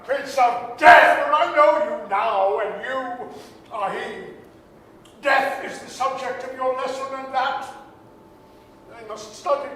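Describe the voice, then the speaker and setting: An actor playing a frightened, repentant old miser who pleads and stammers, in the style of a staged 1930s radio drama. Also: male voice, extremely high pitch, pushed and bright, full character voice. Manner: pleading, stammering, anguished exclamations. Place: performing arts center stage. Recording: echoey hall from far off, faint noise.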